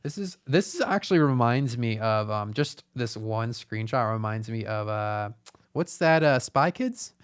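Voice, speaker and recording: A man's voice: mid-range voice, animated, a little slow, clear, resonant tone. A man co-hosting a casual movie podcast, joking and riffing in an incredulous manner. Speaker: podcast co-host. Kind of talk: casual joking film chat.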